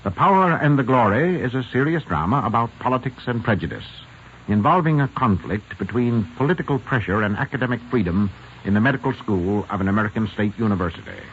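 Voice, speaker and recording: A man hosting and narrating a 1940s radio drama in a formal, measured, scripted style. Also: mid-range voice, expressive, a little hiss or hum. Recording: thin vintage radio broadcast, phone-like.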